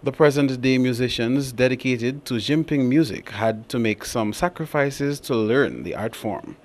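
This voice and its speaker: A man's voice: medium pitch, normal speed. A man, narrating a scripted news report. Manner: steady read-aloud delivery.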